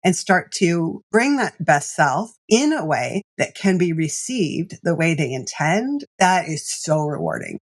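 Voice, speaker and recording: A woman executive coach telling stories as a podcast guest, animated and laughing often. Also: fairly low pitch, measured pace, hardly any pauses, breathy edge.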